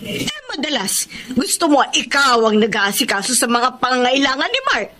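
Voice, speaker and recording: A woman's voice: high-pitched, very animated, moderate pace, pushed and bright, a little hiss or hum, full character voice. An actress playing a stern, domineering middle-aged mother-in-law who scolds imperiously in a radio drama. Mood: stern, scolding. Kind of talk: Tagalog radio drama acting.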